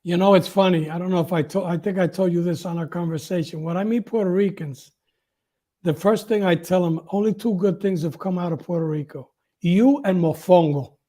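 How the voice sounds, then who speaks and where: High-pitched, mellow male interview host. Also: brisk. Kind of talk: casual interview chat.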